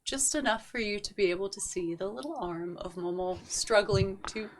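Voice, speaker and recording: A woman running a tabletop game as game master, narrating with lively energy. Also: mid-range voice, moderate pace, a little hiss or hum.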